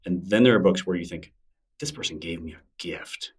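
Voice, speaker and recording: A man's voice: sits low, fairly quick, husky, recorded from a distance. A middle-aged man, a guest in an interview, talking in a thoughtful, rambling way with many fillers and restarts. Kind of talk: casual interview conversation.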